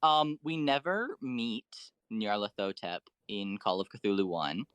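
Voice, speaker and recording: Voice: medium pitch, a little slow, a bit bright, smooth, dry recording. Person explaining fan lore, dry close sound. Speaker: guest on a fan podcast. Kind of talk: enthusiastic fan lore discussion.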